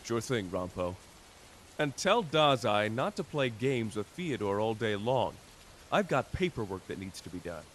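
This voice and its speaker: A man's voice: fairly low pitch, sing-song, a little slow, slight background noise. A man playing a dutiful, exasperated male detective in a scripted anime audio roleplay, dry and matter-of-fact. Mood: businesslike, exasperated.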